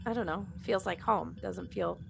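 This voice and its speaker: Casual woman with clear background noise. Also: fairly low pitch, normal speed. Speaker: podcast cast member moderating fan questions. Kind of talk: casual group chat.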